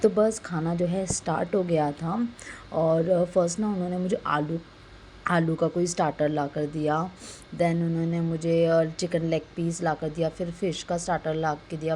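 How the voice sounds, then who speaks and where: A young adult woman with a sore, slightly hoarse throat, chattily narrating a casual family vlog voice-over and mixing in English words. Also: lowish voice, mellow, slight background noise. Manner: conversational, mixes in English words. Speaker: vlogger narrating a family outing.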